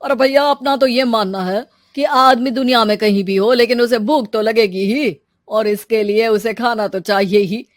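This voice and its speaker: Woman voicing high-pitched, persuasive restaurant fellow. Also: fairly even intonation, moderate pace, no room sound, studio quality, close to an everyday voice. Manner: chatty, persuasive. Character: friendly, persuasive young male restaurant owner.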